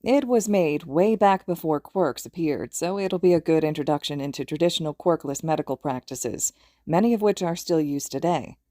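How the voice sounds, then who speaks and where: A woman's voice: deep voice, moderate pace, dark tone, no echo, clean studio sound. A woman reading a story aloud as a scripted audiobook narration. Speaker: podfic narrator.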